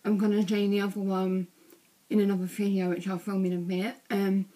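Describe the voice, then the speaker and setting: Teenage girl, slightly croaky, monotone, rambling with ums, bedroom webcam. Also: medium pitch, a little fast. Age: teens. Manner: chatty, rambling with many ums. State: ill, a bit croaky. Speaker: vlogger. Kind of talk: casual vlog showing bag contents. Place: small bedroom indoors.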